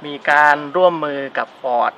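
A man's voice: mid-range voice, a little slow, pushed and bright. A man, giving an emphatic customer testimonial in short phrases. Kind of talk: product testimonial to camera. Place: outdoors in a driveway. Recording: clear outdoor background noise.

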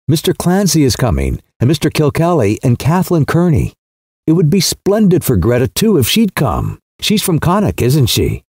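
A man's voice: fairly high pitch, a little mellow, breathy edge, no room sound, clean studio sound, voice pushed up, full character voice. A man voicing a frank, talkative young woman who asks blunt, pressing questions.

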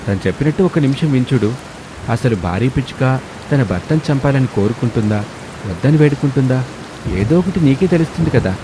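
A man's voice: mid-range voice, background noise. A man narrating a scripted cartoon story in a dramatic storytelling style.

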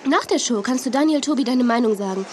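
An actress playing a sensible, encouraging young TV presenter in a children's radio play. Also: female voice, on the high side, lively intonation, fast, background noise, natural voice.

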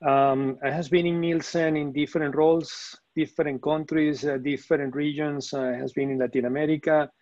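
Man from Venezuela, middle-aged, halting with fillers, dry muffled call audio. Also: fairly high pitch, level tone, darkish tone, no room sound. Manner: hesitant, many fillers and restarts. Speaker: panelist, operations executive. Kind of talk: webinar panel answer. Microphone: video call.

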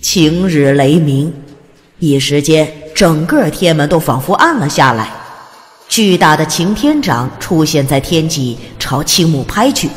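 A woman narrating a fantasy audiobook in a dramatic, scripted storytelling style. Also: low voice. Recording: long reverb over music. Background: music.

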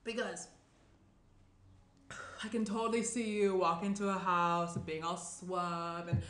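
A woman's voice: lowish voice, level tone, a little slow, fairly bright tone. Woman acting tense, sarcastic young woman, roomy sound. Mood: teasing. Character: tense, guarded young woman, sarcastic morning-after guest. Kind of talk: naturalistic screenplay table read. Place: small indoor room at a table. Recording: roomy, faint background noise.

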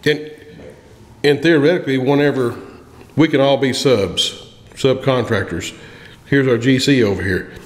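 A man's voice: mid-range voice, unhurried, pauses often. A man, a construction veteran giving practical advice on a podcast in a small room, plain-spoken with frequent restarts. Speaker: construction industry veteran guest. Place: small room with a table, indoors. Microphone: studio mic on a boom arm. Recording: echoey room with long reverb.

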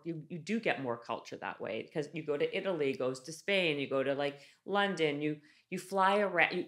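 A woman in her forties from Canada hosting a podcast interview, animated and chatty, restarting sentences with lots of "like", recorded on a boom mic in a studio room. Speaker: podcast host. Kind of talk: casual interview chat. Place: studio room with wood-panel wall. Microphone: desk microphone on boom arm.

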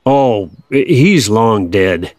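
A man's voice: fairly low pitch, lively intonation, extremely slow, darkish tone, no room sound, studio quality. An actor playing an older Kansas farmer father, plainspoken, folksy and patiently wry, in an audio drama. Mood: reminiscing.

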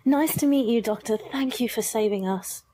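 Woman playing a polite young woman, mellow, higher voice. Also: moderate pace, lightly acted. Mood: grateful. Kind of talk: science fiction fan audio drama acting.

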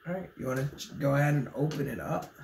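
A man's voice: a little high, fast talker, gravelly, a little mellow. A young man hosting a casual snack taste-test, getting over a cold, easygoing and laughing often, recorded at a table in a small room. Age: young adult. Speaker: channel host. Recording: echoey room, faint noise.

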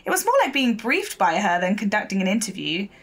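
A woman's voice: mid-range voice, big pitch swings, fairly quick. A woman acting as a diligent young local newspaper reporter in a naturalistic audio drama, polite and inquisitive. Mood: earnest, keen.

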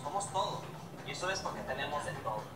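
A man's voice: fairly high pitch, lively intonation, fast, roomy sound, recorded from a distance, a crowd in the background. A young man giving a proud, declamatory scripted narration. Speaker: narrator of a patriotic video.

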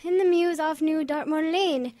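A woman playing an excitable, cheeky wartime schoolboy in a radio drama. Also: sits high, moderate pace, no echo, clean studio sound, lightly acted. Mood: pleading.

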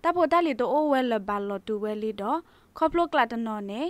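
A woman's voice: high voice, lively intonation, brisk, no room sound. A woman radio news presenter reading scripted news.

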